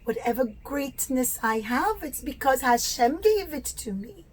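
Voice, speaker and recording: Woman as a meek, high-voiced little mountain. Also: lively intonation, measured pace, slight background noise. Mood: meek, grateful. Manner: meek, modest, soft-spoken. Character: small, humble, grateful male mountain.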